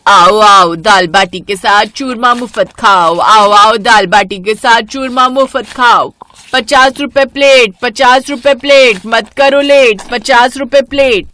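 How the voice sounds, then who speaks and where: A woman's voice: a little high, slow pace, bright, forceful tone. Female narrator as older village food-cart seller, kindly mother-in-law, sing-song hawker, cartoon story. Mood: loud, eager hawking. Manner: sing-song street vendor calls.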